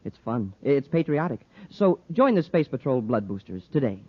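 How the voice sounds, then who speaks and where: An actor playing an eager, earnest, boyish young space cadet in a 1950s radio serial. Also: male voice, medium pitch, very animated, normal speed, noisy background, close to an everyday voice. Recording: muffled 1950s radio recording.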